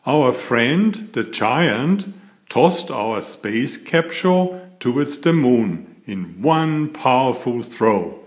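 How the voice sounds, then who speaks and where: A man reading a children's picture book aloud in a deliberate, expressive storytelling manner. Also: mid-range voice. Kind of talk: scripted children's storybook narration.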